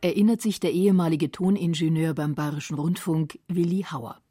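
A woman narrating a scripted radio documentary in a calm, measured way. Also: sits low, background noise.

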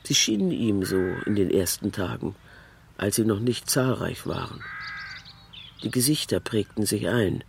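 A man reading scripted literary narration in a deliberate, matter-of-fact manner. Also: fairly low pitch, vocal fry, a little mellow, a little hiss or hum.

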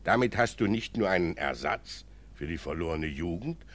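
A male actor playing a kindly, learned senior judge in a radio drama, speaking in measured, didactic, formal phrases. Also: low-pitched, expressive, fairly bright tone. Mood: earnest, consoling.

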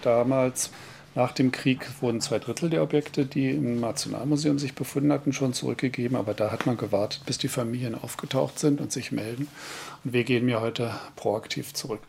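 Man explaining haltingly, faint background noise. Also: medium pitch, steady tone, vocal fry, darkish tone. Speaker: museum art historian and provenance researcher. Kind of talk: explaining objects on show.

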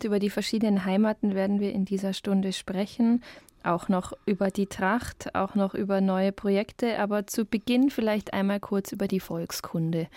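A woman from Bavaria hosting a radio interview, asking her questions in an engaged, warm way. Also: medium pitch, no room sound. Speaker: radio host.

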